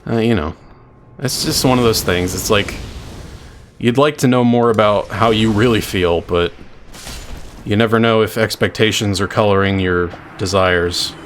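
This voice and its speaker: A man with a deep voice, chatting casually and thinking out loud while he streams a video game, swearing freely. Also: moderate pace, a little hiss or hum.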